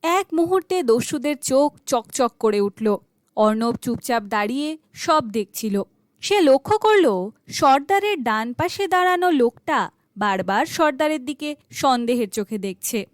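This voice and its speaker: A woman storyteller reads a children's folk tale in a high-pitched voice. Her delivery is very lively and melodic, recorded dry and close as scripted narration. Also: moderate pace, dry recording.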